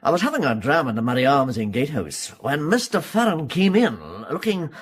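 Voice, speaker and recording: A man playing a humble rural farmer with a Scottish accent in a radio drama, halting, apologetic and respectful. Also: a little high, very animated, breathy edge. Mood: earnest, respectful.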